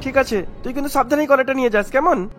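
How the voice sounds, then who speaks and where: A woman's voice: high-pitched, quick pace, soft background music, close to an everyday voice. A woman voicing a friendly, approving male shopkeeper in a cartoon, chatty in manner. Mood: kindly.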